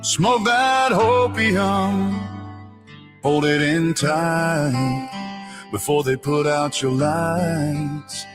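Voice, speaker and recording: A woman singing a slow satirical song. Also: low voice, over music. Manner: slow sung delivery over a loud band. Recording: song mix with loud instruments.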